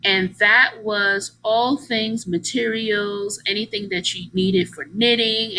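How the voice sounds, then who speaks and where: A woman's voice: medium pitch, vocal fry, sharp tone, a little hiss or hum, rough recording. A woman reading a picture book aloud like a teacher, with exaggerated emphasis, putting questions to young listeners.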